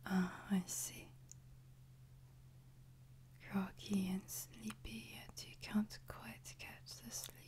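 A woman playing a soothing, devoted French maid in a whispered ASMR sleep-aid roleplay, with a French accent and a soft, breathy delivery. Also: mid-range voice, flat delivery, takes it slowly, pauses often, lightly acted. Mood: gentle, attentive. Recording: noticeable background noise, ambience.

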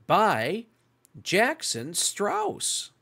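Very animated, slow children's read-along host. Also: mid-range voice, creaky, breathy edge. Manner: very deliberate, exclamatory delivery.

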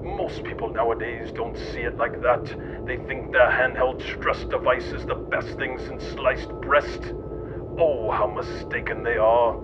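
A man reading scripted mock-documentary narration in a pompous, deadpan manner. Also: unhurried. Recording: lo-fi, muffled, loud rumbling noise.

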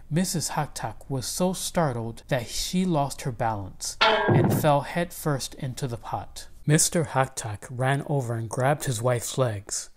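A woman reading a children's picture book aloud as expressive narration. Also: very deep voice. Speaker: storybook reader.

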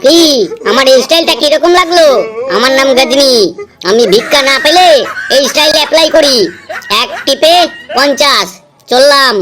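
A woman's voice: high voice, normal speed, noisy background, lightly acted. A woman voicing a brash, boastful, pushy male beggar with a thug's temper in a comedy cartoon. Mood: menacing, smug.

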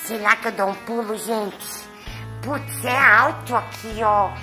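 Woman voicing Dominó, a coy high-pitched tomcat. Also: takes it slowly, over music. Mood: chatty. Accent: Brazilian. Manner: sweet, coy, chatty to viewers. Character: chatty, nervous, affectionate young male house cat. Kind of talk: pet vlog cat voice-over.

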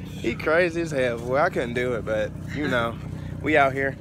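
A man's voice: mid-range voice, normal speed, background noise. A young man chatting casually to his phone camera on a road trip with friends, slangy and laid-back, calling people "boy" and "bro.". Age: young adult. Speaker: vlogger. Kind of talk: casual vlog chat. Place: inside a car and outdoors in parking lots. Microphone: phone held at arm's length.

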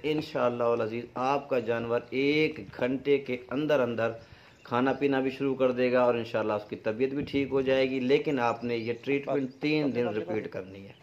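Pakistani man giving practical advice, dull sound. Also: medium pitch. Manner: practical, instructive. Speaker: dairy farming advice presenter. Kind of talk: informal instructional explanation to camera.

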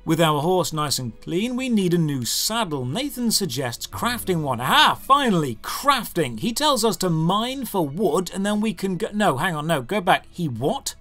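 Animated, high-pitched man narrating with sarcasm. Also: normal speed, few pauses, faint music behind. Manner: sarcastic, mock-exasperated. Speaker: video game reviewer, host. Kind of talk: sarcastic scripted game review narration.

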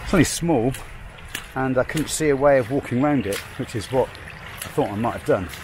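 An older man talks to a camera held at arm's length, in a chatty, self-deprecating ramble. Loud rushing river water roars behind him outdoors. His pitch sits at an ordinary middle height for a man. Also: noisy background. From England. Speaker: hiking vlogger. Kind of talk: casual chat to camera. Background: roaring river water.

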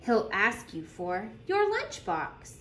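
A woman reading a picture book aloud like a teacher, telling it deliberately and putting questions to young listeners. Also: a little high, huge pitch swings, a bit bright. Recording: roomy echo with clear background noise.

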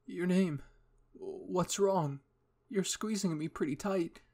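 A man voicing an excitable, dramatic female military scientist in a story reading, with a theatrical, effusive manner. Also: high voice, moderate pace, pitched above the performer's own voice. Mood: tearful, weak.

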